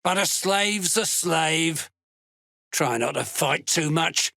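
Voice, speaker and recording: A gruff game villain, a callous dark-dwarf soldier hunting slaves. The voice is gravelly and fairly high for a male, with a hard forceful edge. The delivery is blunt, scornful and threatening. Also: animated, takes it slowly, dry recording, clean studio sound, heavily acted. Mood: gruff, sardonic. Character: gruff, menacing duergar slave hunter, callous fantasy soldier. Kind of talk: video game character voice lines.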